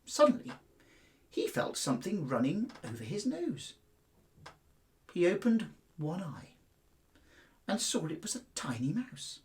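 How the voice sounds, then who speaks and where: A middle-aged man with a British accent, speaking as a headmaster to children in an expressive, carefully phrased storytelling style. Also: high voice, unhurried, pauses often. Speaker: school headmaster reading to pupils.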